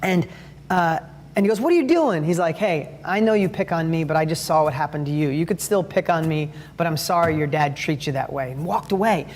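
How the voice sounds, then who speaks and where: A middle-aged man with a high-pitched voice, giving a motivational talk and telling stories with enthusiasm and acted-out quotes. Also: fairly quick, darkish tone, big-room sound, a little hiss or hum. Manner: enthusiastic storyteller, acts out quotes. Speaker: speaker on sales and parenting.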